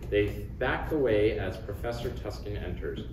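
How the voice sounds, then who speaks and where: A man's voice: fairly low pitch, moderate pace. A man reading stage directions in scripted narration at a table read. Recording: roomy, distant, clearly noisy recording.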